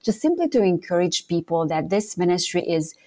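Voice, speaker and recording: A woman from Romania, a guest speaker for a ministry, talking in a measured, grateful way. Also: on the low side, very animated, darkish tone. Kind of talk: interview conversation.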